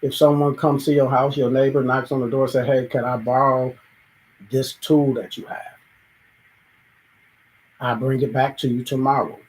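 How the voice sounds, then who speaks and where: A man's voice: mid-range voice, little pitch movement, normal speed, long pauses, a little mellow, low-quality recording. A man hosting a reflective solo monologue, thinking aloud and acting out little everyday dialogues. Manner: thoughtful, acts out everyday dialogues, sometimes restarts. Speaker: show host.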